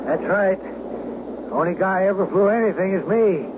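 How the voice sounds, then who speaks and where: An American actor playing an anxious amateur flyer in a radio drama, halting, tense and folksy in manner. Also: male voice, high-pitched, animated. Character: anxious male amateur flyer stuck piloting an airliner. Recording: noisy, muffled old radio drama.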